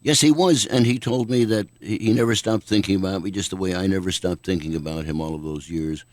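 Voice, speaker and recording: An older man, a guest author, answering questions in a measured, thoughtful way on a radio talk show. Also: sits low, dry recording. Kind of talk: radio interview.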